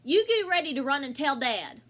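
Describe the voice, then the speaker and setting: A woman in an amateur play as a fretful village wife and mother, talking in a folksy, drawn-out way. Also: high-pitched, big pitch swings, a bit bright, a little hiss or hum. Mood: fretful, resigned. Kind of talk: amateur one-act play acting. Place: filmed on a video call.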